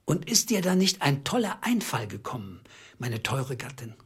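An actor playing a middle-aged, bitter clerk who speaks in a deliberate, accusing, sarcastic way in a radio drama. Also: male voice, mid-range voice, very animated, a bit bright, husky, exaggerated voice. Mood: bitter accusation. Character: bitter, calculating small clerk confronting his treacherous wife.

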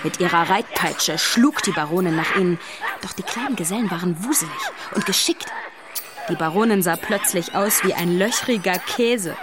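A woman's voice: lowish voice, moderate pace. A woman narrator reading scripted narration for a children's radio play. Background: music.

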